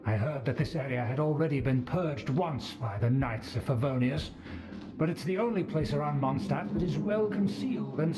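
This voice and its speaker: A man's voice: on the high side, close to an everyday voice. A man voicing a haughty, vain aristocrat in a video game, with pompous, affected formal diction. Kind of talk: video game character acting.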